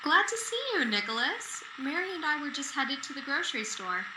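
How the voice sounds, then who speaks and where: A woman's voice: sits high, sing-song, fairly quick. A woman playing a kind, courteous, sweet-tempered neighbour in a radio drama. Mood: friendly. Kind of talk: golden-age radio drama acting. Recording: roomy echo, noisy background.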